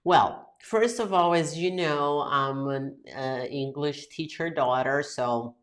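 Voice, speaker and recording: A woman's voice: deep voice, slow. A woman in her forties with a Brazilian Portuguese accent, hosting her own podcast in a chatty way, switching between Portuguese and English. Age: 40s. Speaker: podcast host and English teacher. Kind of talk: casual solo podcast talk.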